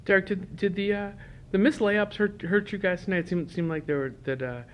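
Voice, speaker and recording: Give me a high-pitched man reporter asking a halting question at a press conference. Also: steady tone, lo-fi sound, a little hiss or hum. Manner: halting, restarts a lot.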